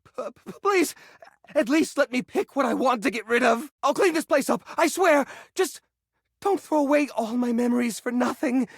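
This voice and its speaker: Whiny, theatrical, wildly expressive hoarder. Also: male voice, very high-pitched, normal speed, no echo, studio quality, heavily acted. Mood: desperate pleading. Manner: theatrical, whiny, defensive, stammering when upset. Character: neurotic, possessive hoarder junk drawer come to life. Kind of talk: video game character voice acting.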